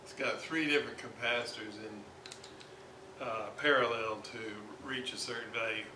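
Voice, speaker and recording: A man giving an informal hands-on repair demonstration, thinking aloud in a halting way with long pauses. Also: medium pitch, fairly even intonation, sharp tone. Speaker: hobbyist radio restorer presenting his repair. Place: indoors at a repair workbench. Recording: roomy, noisy amateur workshop recording.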